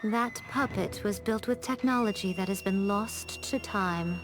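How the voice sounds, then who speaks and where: A woman's voice: mid-range voice, a little slow, natural voice. A woman playing an elegant, shrewd shrine priestess, formal, poised and slyly teasing, in a video game cutscene. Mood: measured, explanatory. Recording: music under the voice.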